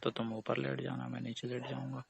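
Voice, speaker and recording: A man's voice: mid-range voice, completely flat delivery, fairly quick, gravelly. A young man in an intimate, casual phone chat, teasing and persistently asking questions. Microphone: phone call.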